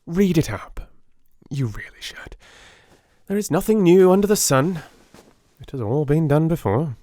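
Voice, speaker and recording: A man playing a brilliant, commanding Victorian detective in an audiobook, questioning briskly and with authority. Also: a little high, dry recording, voice pushed up. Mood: lofty, excited. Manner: rapid, animated, authoritative questioning.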